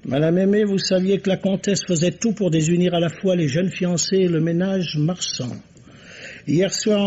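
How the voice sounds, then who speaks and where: An actor playing a shrewd, courteous, self-assured police commissioner in a formal, theatrical radio mystery style. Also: male voice, high-pitched, darkish tone, breathy edge. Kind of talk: vintage French radio mystery acting. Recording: dull, muffled old radio recording.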